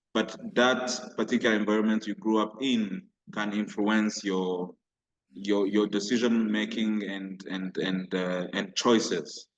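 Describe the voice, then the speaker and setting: Guy with a lowish voice doing a class presentation, stumbles and repeats words. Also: poor audio. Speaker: student presenter.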